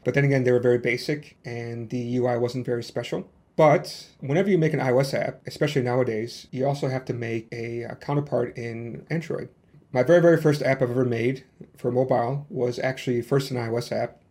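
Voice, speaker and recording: A man's voice: medium pitch, steady tone, a little fast, a little mellow. A man in his thirties with a Chicago accent, hosting a casual solo podcast and rambling along with many fillers and restarts. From Chicago, USA. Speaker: podcast host.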